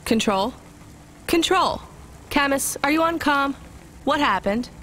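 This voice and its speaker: A wary young delivery driver. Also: female voice, high-pitched, expressive, slow pace, a bit bright, heavily acted. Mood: alarmed, questioning. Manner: questioning, direct. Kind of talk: video game voice acting. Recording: faint vehicle noise behind.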